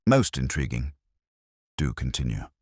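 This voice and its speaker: An incisive, commanding Victorian detective, played by a male audiobook reader. He questions formally and with measured care, in an extremely deep voice with lively rises and falls, recorded dry. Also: no echo, exaggerated voice. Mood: probing.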